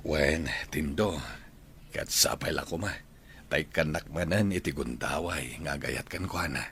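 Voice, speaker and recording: Deep, bright-voiced Filipino older suitor. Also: male voice, a little slow, vocal fry, slight background noise, full character voice. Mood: lovelorn. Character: devoted older male suitor, tender and grateful. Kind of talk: sentimental radio drama acting.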